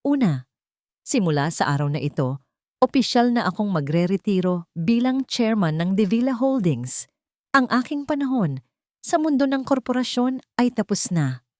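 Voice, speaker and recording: A woman's voice: medium pitch, sing-song, moderate pace, darkish tone, dry recording, voice pushed up, natural voice. Retiring older tycoon played by a female narrator: Filipino accent, earnest, formal, composed, storybook delivery, dry sound. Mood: solemn, earnest. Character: older male tycoon, calm, steady, grateful, retiring.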